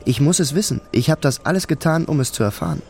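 A man's voice: on the high side, animated, fast talker, gravelly, darkish tone, slight background noise. An actor playing an anxious male traveler who narrates his own journey in a radio play, introspective and insistent. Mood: brooding.

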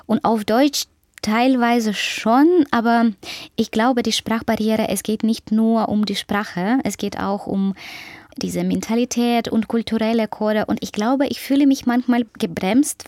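A woman's voice: a little high, steady tone, unhurried, a little mellow, no room sound. Ukrainian-accented woman, dry close sound. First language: Russian. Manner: thoughtful, hesitant, with frequent fillers. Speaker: author. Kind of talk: interview conversation.

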